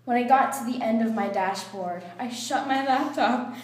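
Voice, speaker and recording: A woman's voice: a little high, moderate pace. A young woman giving a playful, giggly dramatic reading of romantic fiction. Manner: playful, often breaking into giggles. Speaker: reader in a water-spitting challenge. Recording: noisy, echoey room with clicks.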